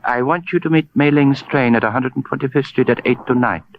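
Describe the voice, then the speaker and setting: A male actor as a florid, courteous Chinese gentleman from an old radio play. He chooses ornate words and delivers them formally and deliberately. The recording is dull and faintly noisy. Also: mid-range voice, lightly acted. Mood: grave, courtly. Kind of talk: 1950s radio drama acting.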